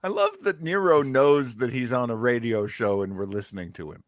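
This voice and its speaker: A man's voice: mid-range voice, brisk, very noisy. A man hosting a podcast, chatting casually in reaction to what he hears. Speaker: podcast host.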